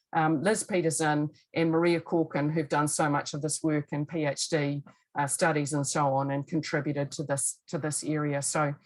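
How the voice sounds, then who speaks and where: A woman presenting study findings in a chatty, brisk webinar talk over a video call. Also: on the low side. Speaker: lead researcher of a longitudinal study.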